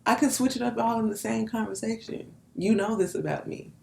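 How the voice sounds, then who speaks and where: A woman guest with a husky voice, playful and animated in sassy banter. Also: fairly high pitch, brisk. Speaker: podcast guest. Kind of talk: casual chat.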